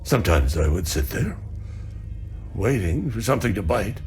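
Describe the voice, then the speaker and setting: An actor playing a weary, regretful older father in a game cutscene, gravelly and wistful. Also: lowish voice, big pitch swings, normal speed, a little mellow, soft background music. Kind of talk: video game cutscene acting.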